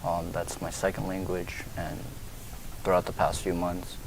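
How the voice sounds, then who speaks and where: A young man running for school board, speaking in a steady way with some fillers. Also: low-pitched, a little slow. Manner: steady, flat delivery with fillers. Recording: faint background noise.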